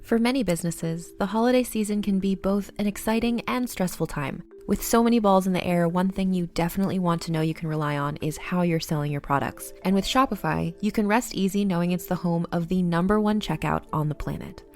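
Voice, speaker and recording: A woman reading a scripted advertisement voice-over in a polished, measured sales delivery. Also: fairly low pitch, darkish tone, soft background music. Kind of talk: scripted ad narration.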